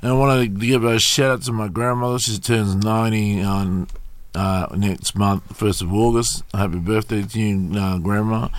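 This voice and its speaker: A man hosts a casual interview on community radio. His voice sits fairly low, and he keeps things relaxed, dropping short encouraging replies as his guests talk. He is speaking from a radio studio. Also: normal speed, hardly any pauses, no echo. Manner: laid-back, brief encouraging interjections. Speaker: radio host.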